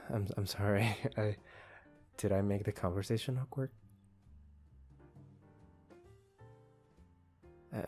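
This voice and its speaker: A man acts out a bashful young male employee with a crush in an ASMR roleplay. The voice is low and very unhurried, soft and hesitant, full of apology. Guitar music plays behind it. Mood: flustered, apologetic. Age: young adult. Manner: hesitant, soft-spoken, halting. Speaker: voice actor. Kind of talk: ASMR roleplay, intimate one-sided conversation.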